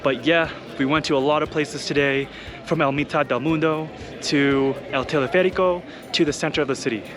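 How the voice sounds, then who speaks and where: A man's voice: on the high side, steady tone, brisk, music in the background. A man from Thailand talking casually to his viewers as he walks city streets, loose and full of "like" and "you guys". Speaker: travel vlogger. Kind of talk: casual travel vlog.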